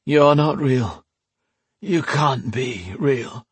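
A man voicing a wounded, delirious young man, raspy and out of breath with pain. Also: mid-range voice, expressive, extremely slow, no room sound, voice pushed up, heavily acted. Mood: anguished. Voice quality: raspy, wheezing, strained.

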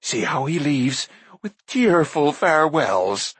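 A groveling shaggy forest creature from a storybook whines in a high, drawn-out voice. The voice is breathy and close, and the dry sound leaves no room around it. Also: male voice, big pitch swings, dry recording, full character voice. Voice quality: high, slow, whining.

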